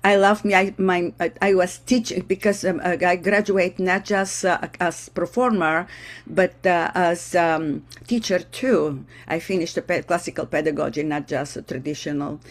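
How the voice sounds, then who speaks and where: A Bulgarian-accented woman past middle age talks in a slightly low voice. Her English comes in halting stretches, full of small pauses and restarts, as she describes her work as a choir director. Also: steady tone. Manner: halting, searching for words. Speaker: vocal ensemble director. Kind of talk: casual interview talk.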